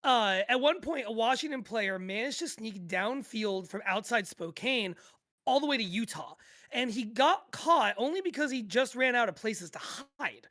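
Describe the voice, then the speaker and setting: Casting: woman as lively, bright-voiced football-fan podcast co-host, amused and exasperated, casual and sweary. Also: fairly high pitch. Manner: conversational, amused, giggly, sweary. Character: animated, exasperated sports-fan co-host recounting an absurd endless football game. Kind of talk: scripted podcast table read as casual sports chat.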